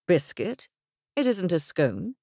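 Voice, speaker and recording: A woman voicing a polite young English gentleman working as a ranch hand, with formal, courteous speech. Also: lowish voice, big pitch swings, takes it slowly, gravelly, no room sound, studio quality, exaggerated voice.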